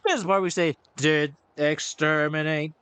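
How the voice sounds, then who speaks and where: A man's voice: on the high side, steady tone, unhurried, a bit bright, smooth. A man giving casual commentary as he plays through a game. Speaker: streamer reacting to a game.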